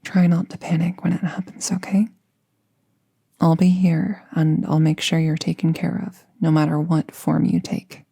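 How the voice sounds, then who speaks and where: A woman playing a gentle, reassuring apprentice witch in an intimate roleplay audio drama, soft-spoken, breathy and careful. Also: low-pitched, flat delivery, normal speed, full character voice.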